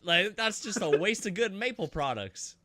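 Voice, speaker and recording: A man's voice: high voice, lively intonation, a crowd in the background. A man co-hosting a gaming podcast, joking, swearing freely and reading out viewer comments. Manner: jokey and profane, quick to laugh. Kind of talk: casual gaming podcast banter.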